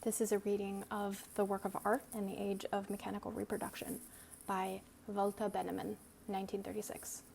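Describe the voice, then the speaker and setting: A woman reads an essay aloud as a dramatic performance, deliberate and theatrical, with pointed pauses. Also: medium pitch, completely flat delivery, vocal fry. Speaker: reader. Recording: noticeable background noise.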